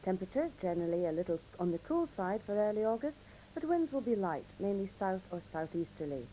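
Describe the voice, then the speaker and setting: A woman newsreader delivers a scripted regional bulletin in a mellow, mid-pitched voice. She is formal and measured, recorded on an old off-air videotape with faint noise. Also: sing-song. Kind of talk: scripted regional news and weather reading. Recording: noisy old VHS off-air tape.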